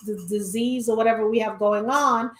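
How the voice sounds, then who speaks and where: A woman's voice: on the high side, fairly even intonation, brisk, fairly bright tone. A middle-aged woman co-hosting a casual discussion, rambling with frequent restarts and trailing phrases. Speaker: podcast co-host. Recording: echoey room.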